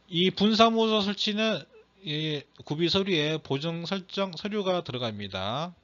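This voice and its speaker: A man's voice: a little high, fairly bright tone. A man lecturing for an exam, reading out questions and explaining answers, stressing and repeating key phrases in a slightly strained voice. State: slightly strained voice from throat trouble. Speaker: exam lecturer teaching real estate broker law. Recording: dull, muffled lo-fi audio.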